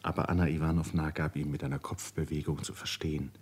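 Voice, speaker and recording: A man with a husky voice giving measured, serious literary narration for a radio drama. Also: extremely low pitch, level tone, a little mellow, a little hiss or hum.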